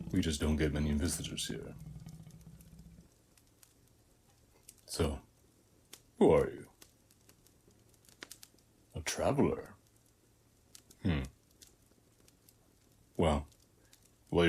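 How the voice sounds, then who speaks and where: Man playing a very deep-voiced, calm warrior. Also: breathy edge, exaggerated voice. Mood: gentle, welcoming. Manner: unhurried, measured, with long pauses between short phrases. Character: calm, hospitable warrior of Ares guarding a seized temple. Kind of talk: scripted audio roleplay, speaking softly to the listener.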